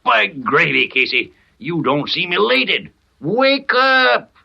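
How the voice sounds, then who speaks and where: A man's voice: high voice, very animated, takes it slowly, creaky, husky, full character voice. An American man playing a brash, impatient newspaper editor barking slangy orders in a 1930s radio drama. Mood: excited, gloating. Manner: slangy, emphatic, bossy. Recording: muffled vintage 1930s radio recording.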